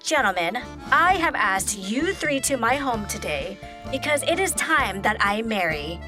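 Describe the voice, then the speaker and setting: A woman's voice: a little high, sing-song, measured pace, over music. A woman playing a prim, sly and menacing spinster heiress in an audio play, with haughty, ornate phrasing. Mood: haughty, purposeful. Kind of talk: theatrical audio play acting.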